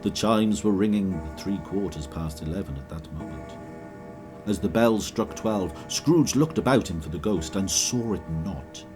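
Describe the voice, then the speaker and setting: Casting: deep male narrator, expressive, soft music under. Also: measured pace. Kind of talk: scripted storytelling narration.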